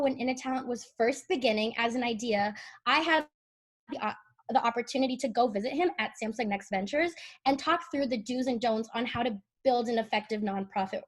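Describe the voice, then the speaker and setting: A woman hosting a video call, introducing a guest in an eager, conversational way. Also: fairly high pitch. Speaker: host.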